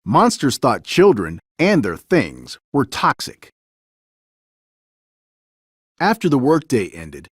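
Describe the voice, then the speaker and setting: Animated male children's storybook narrator. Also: a little high, no echo, studio quality. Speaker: storybook reader. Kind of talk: children's story read-aloud, scripted narration.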